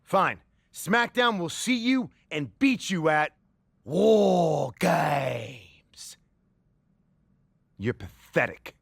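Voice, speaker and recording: A man's voice: on the high side, very animated, extremely slow, creaky, husky, dry recording, studio quality, heavily acted. A man playing a boastful, defensive, sneering wrestling show manager in a scripted game cutscene. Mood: defiant.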